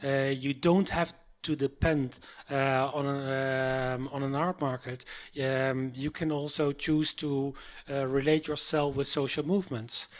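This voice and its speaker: A man's voice: mid-range voice, little pitch movement, a little slow, few pauses. A man with an ordinary mid-range voice speaks as an in a panel talk. He hesitates, fills gaps with "uh," and restarts his sentences as he thinks aloud. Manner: hesitant, many fillers and restarts. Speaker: artist, panel guest. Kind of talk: public panel conversation.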